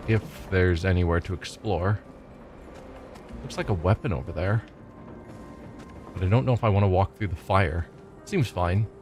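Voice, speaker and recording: A man's voice: low-pitched, moderate pace, pauses often. A congested man commentating casually as he plays a video game, musing and chatting as he goes. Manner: musing, chatty, thinking aloud. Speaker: streamer playing a game. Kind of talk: casual let's play commentary. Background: game music.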